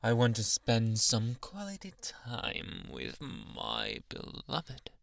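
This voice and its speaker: A man playing a jealous, possessive, unhinged young anime boyfriend in an ASMR roleplay, slipping between low speech and breathy whispers, menacing yet coaxing, close to the mic. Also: huge pitch swings, fairly bright tone, dry recording, exaggerated voice. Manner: menacing, teasing, drawn-out coaxing endearments. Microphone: close-up ASMR microphone, dry.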